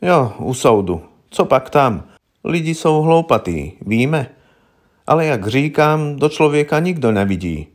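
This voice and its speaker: A man voicing a wary, gruff old village herbalist woman in an audiobook, with blunt, knowing, rustic colloquial speech. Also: medium pitch, very animated, voice pushed up, close to an everyday voice. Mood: knowing, matter-of-fact. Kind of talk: audiobook character voice.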